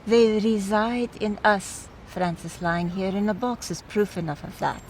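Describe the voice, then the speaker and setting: A woman acts out a skeptical, grieving woman in an audio play. She speaks slowly at a middling pitch, with dry wry turns and a conversational feel. A light noise bed sits behind her. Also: natural voice. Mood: wry, pensive. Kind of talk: audio drama acting.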